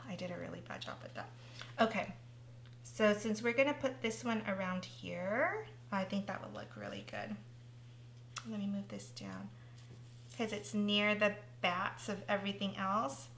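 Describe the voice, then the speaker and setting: A woman's voice: medium pitch, normal speed, a bit bright, roomy sound, a little hiss or hum. A woman hosting a casual craft tutorial, thinking aloud as she works, and losing her voice. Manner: chatty, thinking aloud while working.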